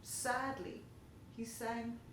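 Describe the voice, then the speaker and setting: A woman's voice: a little high, level tone, very slow, fairly bright tone. A woman narrating a children's story expressively, in the manner of a storyteller reading aloud. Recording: very echoey room, faint hiss.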